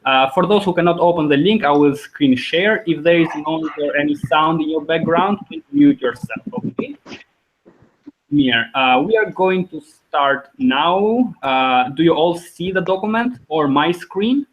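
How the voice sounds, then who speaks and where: Higher-pitched Albanian man teaching online. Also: lively intonation, a little slow. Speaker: language teacher, host. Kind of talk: informal online group lesson. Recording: dull lo-fi video call audio.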